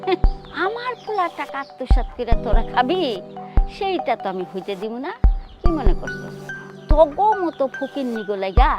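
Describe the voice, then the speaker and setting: Shrill, spiteful village mother-in-law. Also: sing-song, normal speed, loud music behind the voice. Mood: scornful, scolding. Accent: rural Bangladeshi. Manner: scornful, scolding, taunting. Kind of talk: melodramatic Bangla TV drama acting.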